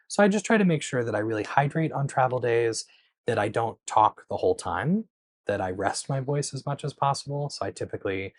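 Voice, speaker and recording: Male craft host, low-pitched, casual Q&A to camera, dry close clip-on mic. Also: no room sound. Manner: conversational, explains patiently with frequent um and so. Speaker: knitting channel host.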